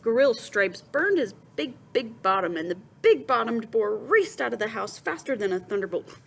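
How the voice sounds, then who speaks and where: A woman reading a children's storybook aloud in an expressive, dramatic storytelling manner. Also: fairly high pitch, moderate pace, vocal fry. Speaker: storybook reader.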